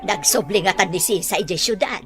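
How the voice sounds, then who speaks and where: A woman's voice: medium pitch, fairly quick, music in the background, close to an everyday voice. An actress playing a concerned, nagging mother of a grown son in a radio drama, insistently questioning. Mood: worried.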